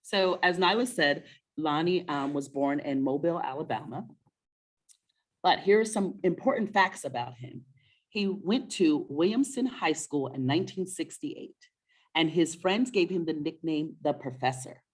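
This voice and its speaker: Low-voiced, encouraging woman hosting story time. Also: a little slow. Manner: encouraging, often asks questions. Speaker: host of a children's story-time webinar. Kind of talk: casual conversational presenting to and with a child.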